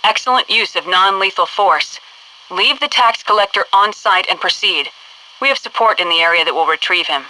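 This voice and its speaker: A commanding American female military officer briefs a squad in a video game. Her voice is very bright and forceful, composed and clipped. It comes through a radio filter, sounding set back from the mic. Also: medium pitch, normal speed, dry recording, recorded from a distance, poor audio. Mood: composed, authoritative. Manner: clipped, authoritative, formal. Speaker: mission control officer.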